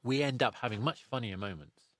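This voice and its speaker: A man's voice: medium pitch, brisk, fairly bright tone, no echo. A man hosting a podcast, chatting casually in a rambling, reflective way. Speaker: podcast host and interviewer. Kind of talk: casual interview chat.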